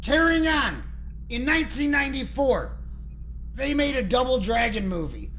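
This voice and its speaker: A reviewer delivering a scripted segment in a deliberate, emphatic way with dramatic pauses. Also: high-pitched, very animated, a bit bright. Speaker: guest film reviewer.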